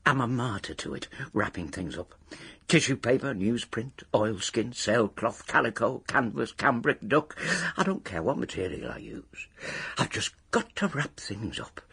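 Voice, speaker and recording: Radio play: wistful, obsessive little retired packer, heavily husky, very animated delivery, grand phrases in rough grammar. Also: male voice, medium pitch, exaggerated voice. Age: older. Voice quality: raspy, husky. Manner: wordy, self-important, working-class grammar with grand phrases. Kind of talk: radio drama monologue.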